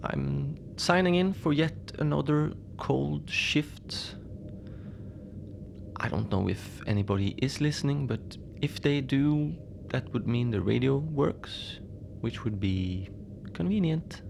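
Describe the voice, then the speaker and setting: A man playing a mild, lonely Antarctic station manager in a cozy horror audio drama, speaking in a hesitant, understated deadpan as he logs his shift. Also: mid-range voice, slow pace, vocal fry. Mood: calm, hopeful. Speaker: narrator of a fiction podcast. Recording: noisy ambient bed behind the voice.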